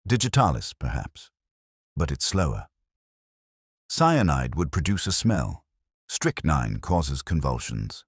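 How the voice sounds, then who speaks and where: Guy reading as an earnest detective's sidekick, very low voice, slightly rough, short careful reports. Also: big pitch swings, takes it slowly, long pauses, no echo, clean studio sound, full character voice. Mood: earnest, shaken. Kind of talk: audiobook character dialogue.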